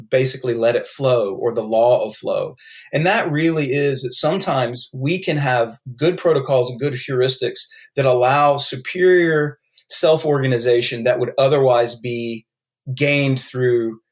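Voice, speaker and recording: A man with a North Carolina accent, a guest author explaining his ideas in a podcast interview, thoughtful and full of restarts. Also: medium pitch.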